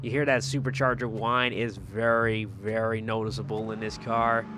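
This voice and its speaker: A man's voice: lowish voice, fairly even intonation, moderate pace, noisy background. A man doing casual, chatty gameplay commentary in informal, colloquial speech, often repeating his phrases. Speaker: gaming video creator and host.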